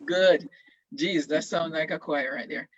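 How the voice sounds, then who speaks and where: A man's voice: a little high, unhurried, breathy edge, no room sound, low-quality recording. A man casually hosting an online event as he introduces guests. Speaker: host. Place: online video call.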